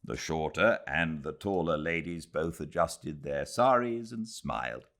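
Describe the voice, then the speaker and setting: A man's voice: a bass voice, animated, a little slow, vocal fry, a bit bright. A man with a British accent narrating a literary novel as an audiobook. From Britain.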